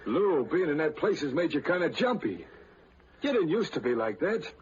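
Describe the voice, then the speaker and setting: A swooping, slangy gangster sidekick. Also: male voice, on the high side, very animated, normal speed. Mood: placating. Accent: American. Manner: slangy, urging, placating. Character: gangster getaway driver, the peacemaking, none-too-bright pal. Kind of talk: 1940s radio acting. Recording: noisy, muffled vintage radio broadcast.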